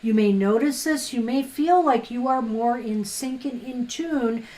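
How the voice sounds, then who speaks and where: A woman co-hosting a live-stream tarot reading, chatty and fast-talking, laughing often. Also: on the high side, warm tone. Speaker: tarot reader co-host.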